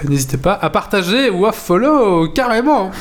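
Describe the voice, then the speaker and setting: A man's voice: high-pitched, very animated, normal speed, a little hiss or hum. Chatty man host with a cold. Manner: chatty, laughs often. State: congested from a cold. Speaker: podcast host. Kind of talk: casual chat.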